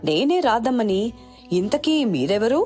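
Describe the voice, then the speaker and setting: A woman's voice: fairly high pitch, sing-song, measured pace, dark tone, faint music behind. A woman playing a poor, humble village mother in a story cartoon, with a plaintive and earnest manner. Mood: polite. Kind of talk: dramatized moral story dialogue.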